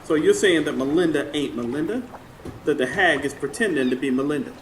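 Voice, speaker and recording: An actor playing a sassy, skeptical Southern friend in a radio drama, blunt, wry and teasing. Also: male voice, on the high side, animated, brisk, natural voice. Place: stage before a live studio audience. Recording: live radio show with background noise.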